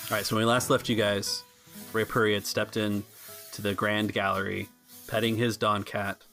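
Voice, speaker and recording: A man's voice: fairly low pitch, level tone, moderate pace, creaky, pushed and bright, faint music behind. A man hosting a podcast and narrating a tabletop role-playing game as game master.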